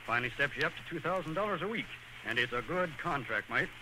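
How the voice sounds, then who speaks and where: Man doing jovial studio guy, fast and lively, highish voice, old radio drama. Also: natural voice. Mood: brisk, friendly. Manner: brisk, animated. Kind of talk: 1940s radio acting. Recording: noisy old radio broadcast.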